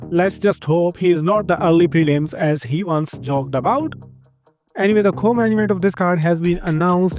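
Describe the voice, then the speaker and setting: A man's voice: on the high side, a little mellow. Upbeat Indian man reading fight news. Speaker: host of an MMA news channel. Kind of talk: scripted news roundup.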